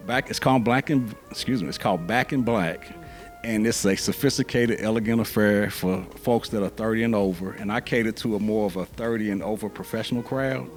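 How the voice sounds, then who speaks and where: A middle-aged man from Louisville, Kentucky, speaking in a measured, conversational way as an interview guest. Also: on the low side, faint music behind. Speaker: interview guest, event planner. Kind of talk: casual interview.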